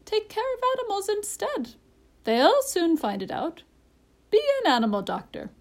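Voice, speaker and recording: Woman voicing a high, bossy storybook parrot. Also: huge pitch swings, moderate pace, full character voice. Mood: bossy, insistent. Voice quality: high-voiced. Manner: emphatic, instructive. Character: bossy, proud female parrot, sharp and opinionated.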